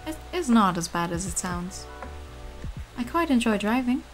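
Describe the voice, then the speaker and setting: A woman's voice: medium pitch, big pitch swings, moderate pace, soft background music, natural voice, poor audio. A woman playing a reserved, melancholy female driver who speaks formally and precisely in a fan-dubbed visual novel. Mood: reserved, somber.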